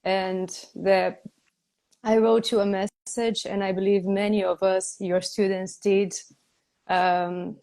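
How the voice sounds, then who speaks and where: Brazilian woman hosting a live video chat, halting and earnest, keeps reaching for words. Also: mid-range voice, flat delivery, vocal fry, darkish tone. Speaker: host. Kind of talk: live online conversation. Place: home in Spain. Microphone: video call.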